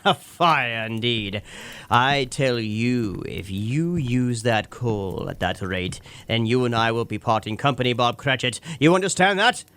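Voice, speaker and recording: An actor playing a grumpy, miserly old moneylender in a radio drama, scornful, blustering and sarcastic. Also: male voice, mid-range voice, animated, unhurried, a bit bright, clear, resonant tone.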